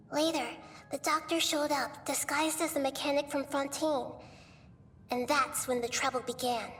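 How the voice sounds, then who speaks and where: A woman playing a gentle, composed, girlish wise guide in a video game, explaining things in a calm, measured way. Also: high-pitched, distant mic.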